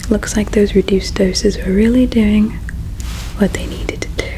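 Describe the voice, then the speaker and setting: A woman playing a gentle, caring alien in an ASMR roleplay, speaking in a slow, hushed, tender and wistful way with a breathy quality. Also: medium pitch, natural voice, poor audio. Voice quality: breathy whisper with creaky edges. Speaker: ASMR performer. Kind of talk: ASMR roleplay, whispered and soft-spoken.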